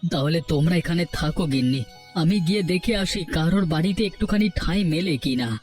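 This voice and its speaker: A proud wandering family man voiced by a man for a cartoon tale. He speaks earnestly and dramatically in a slightly high male voice, with faint music behind. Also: fairly quick, a little mellow, close to an everyday voice. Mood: caring, determined. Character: proud, homeless village husband and father. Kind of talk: Bengali cartoon story acting.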